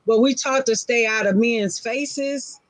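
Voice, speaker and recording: A woman's voice: on the high side, measured pace. A woman in her forties speaking frankly on a casual live-stream panel, in short, repeated phrases. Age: 40s.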